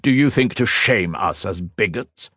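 Male reader as a deep baritone council judge, firm and cold, slow, dry. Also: lively intonation, fairly bright tone, no room sound. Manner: firm, cutting, measured.

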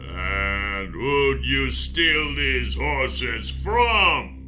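A man voicing a big, suspicious orc leader in a fantasy audiobook, with a growling, gravelly, drawling delivery. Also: mid-range voice, animated, bright, forceful tone, slight background noise, voice pushed up, heavily acted. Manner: slow, drawling, taunting.

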